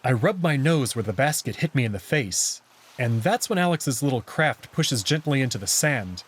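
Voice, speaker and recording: A man reads a visual novel aloud in first person. His voice is mid-pitched and husky, and his narration is expressive, with lively movement in pitch. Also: normal speed.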